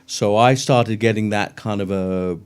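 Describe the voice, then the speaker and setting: A middle-aged man with a London English accent, a guest chatting casually and warmly about his career in an interview. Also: on the low side, steady tone, measured pace, smooth. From England. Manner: friendly, chatty, brief laughs.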